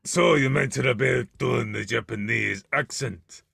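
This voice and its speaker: Gravelly, husky podcast host. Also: male voice, medium pitch, a little slow, a bit bright, no echo. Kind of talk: casual interview.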